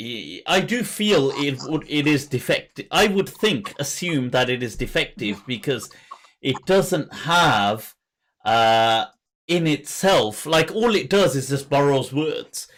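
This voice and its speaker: A man hosting a podcast interview, speaking in a thoughtful, halting way as he searches for words. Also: mid-range voice, lively intonation, a bit bright. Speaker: podcast host. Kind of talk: conversational interview.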